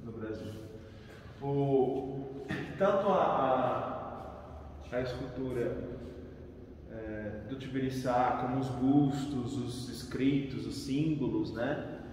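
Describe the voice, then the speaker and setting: A man's voice: mid-range voice, slow, pauses often, darkish tone. Brazilian guy giving a tour, explaining stuff, far from the mic in a big reverby stone space. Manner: explanatory, often checks with "né". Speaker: tour guide. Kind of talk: informal guided tour explanation. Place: large reverberant crypt indoors. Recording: very echoey stone crypt, distant, faint noise.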